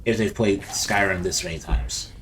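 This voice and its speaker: A man's voice: low-pitched, normal speed, fairly bright tone, slight background noise. A man giving casual, rambling game commentary as host, often repeating his phrases. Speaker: gaming video host.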